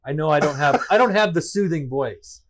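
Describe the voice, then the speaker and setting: A man's voice: on the high side, expressive, a little fast. Podcast guy, jokes at himself, says stuff twice, laughs a lot. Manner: self-deprecating, repeats himself, laughs often. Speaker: podcast co-host. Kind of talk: casual chat.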